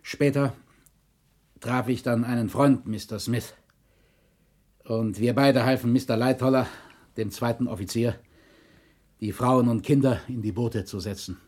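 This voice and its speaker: Sober, shaken shipwreck survivor, measured. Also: male voice, medium pitch, steady tone, a little mellow, husky. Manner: measured, formal, sober witness testimony. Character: male colonel and historian, shaken shipwreck survivor testifying. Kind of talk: documentary radio drama testimony.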